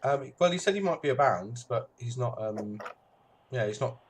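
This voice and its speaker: A man's voice: medium pitch, expressive, normal speed. A man hosting a stream, chatting casually and hesitantly with many ums and self-deprecating asides. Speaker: streamer host. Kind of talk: casual chat while setting up a stream. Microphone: webcam microphone. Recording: noisy webcam mic.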